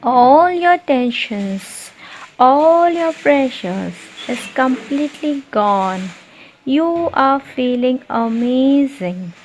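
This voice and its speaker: A woman leading a guided meditation, giving repetitive, soothing instructions with deliberate pauses. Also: sits high, animated. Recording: muffled lo-fi, noisy, birdsong behind. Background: birdsong and ambient nature sounds.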